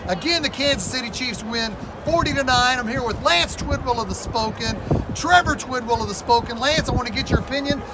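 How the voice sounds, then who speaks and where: A man's voice: very high-pitched, fairly quick. A man hosting a postgame fan interview outdoors in a stadium at night, with a hyped announcer-style delivery. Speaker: host. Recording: loud outdoor stadium noise.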